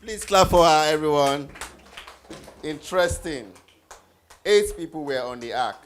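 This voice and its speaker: An encouraging leader runs a live children's Bible quiz at a slow pace. The voice sits at a medium pitch, putting questions to the group and echoing the answers back. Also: animated, slight background noise. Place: live group event with children before a stage.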